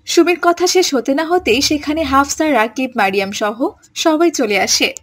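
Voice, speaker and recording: Female narrator, high voice, scripted storytelling. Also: moderate pace, fairly bright tone.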